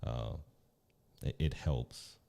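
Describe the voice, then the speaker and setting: Deep-voiced man, mellow tone, casual live podcast co-host, rambling tips. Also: very slow, gravelly. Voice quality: naturally deep voice. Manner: loose, chatty, repeats "again" and "right".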